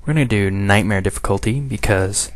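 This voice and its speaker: A man's voice: fairly low pitch, fairly quick, heavy background noise. A man giving casual, off-the-cuff let's play commentary with wry, sarcastic asides. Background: loud game music and sound effects.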